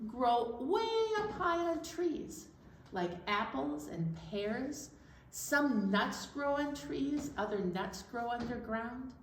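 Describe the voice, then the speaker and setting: A woman with a fairly high, unhurried voice leads a children's storytime in an animated, simple way. She moves between talk and sing-along songs. The recording is roomy and picked up from a distance, with faint background noise. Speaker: storytime presenter at a library.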